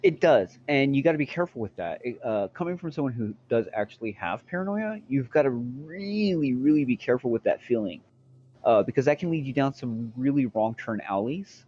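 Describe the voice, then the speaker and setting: A man in his forties talking in casual voice chat, measured and hesitant but opinionated. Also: medium pitch, expressive, darkish tone, lo-fi sound, slight background noise. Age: 40s. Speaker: guest in voice chat.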